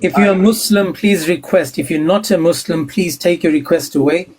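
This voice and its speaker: A man co-hosts the live debate stream, briskly running the speaker queue. His voice sits a little high. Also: crowd noise. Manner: brisk, managing the stage. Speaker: stream co-host.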